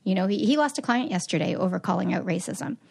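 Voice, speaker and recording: A woman's voice: medium pitch, fast, gravelly, husky. A woman in her thirties, a relationship expert, talking candidly as a guest in a casual podcast chat. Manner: candid, animated storytelling.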